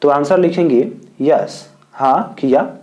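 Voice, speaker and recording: A man from India teaching as a tutor, dictating answers slowly and repeating each point in several wordings. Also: a little high, warm tone. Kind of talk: exam answer lesson.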